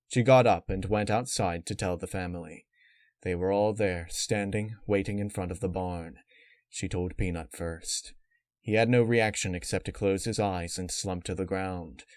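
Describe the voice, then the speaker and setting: A man reading scripted dark fan fiction as narrator, in a measured, dramatic way. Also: low-pitched, no room sound. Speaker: story narrator.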